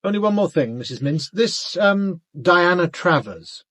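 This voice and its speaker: An actor playing a measured, probing senior detective in a British radio drama, reserved and understated. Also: male voice, high voice, lively intonation, fairly bright tone, dry recording. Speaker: actor in a radio murder mystery.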